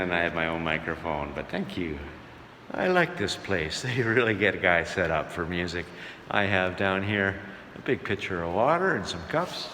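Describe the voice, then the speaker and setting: A man's voice: sits low, bright, forceful tone, slight background noise. A man who works as a touring entertainer, narrating his travels in a casual vlog style. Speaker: touring musical entertainer and host. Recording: echoey room, music behind.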